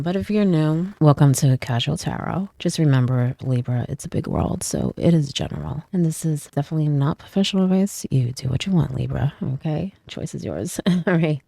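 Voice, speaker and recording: A woman's voice: sits low, normal speed, gravelly, few pauses, dark tone, no echo. A woman doing a casual tarot reading, a little stuffy from a cold, chatty and often checking in with "okay?" and "right?". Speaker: tarot reader.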